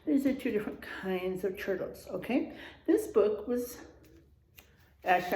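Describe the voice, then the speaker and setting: Expressive woman reading aloud, slow and roomy. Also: mid-range voice. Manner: expressive, checks in with listeners. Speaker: teacher reading aloud to children. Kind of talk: children's storybook read-aloud with asides. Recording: roomy, echoing room sound.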